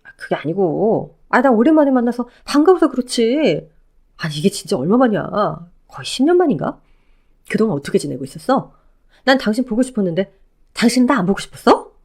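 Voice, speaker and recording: A woman's voice: a little high, big pitch swings, fairly quick, darkish tone. A woman voicing a pleading, self-pitying middle-aged ex-husband who begs and whines. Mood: eager. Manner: wheedling, whiny begging.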